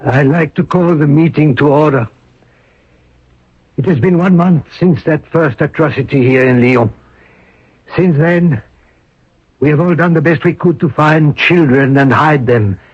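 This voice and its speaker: An actor playing an impatient, authoritative French Monsignor in a radio drama, with a brisk manner. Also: male voice, on the high side, husky. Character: commanding, impatient French Monsignor leading the resistance. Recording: muffled old radio broadcast.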